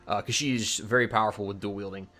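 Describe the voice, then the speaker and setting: A man's voice: on the low side, steady tone, quick pace, sharp tone. A man giving a casual, unscripted video game tutorial, talking informally and rambling as he corrects and second-guesses himself. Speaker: video host and mod creator demonstrating a game mod.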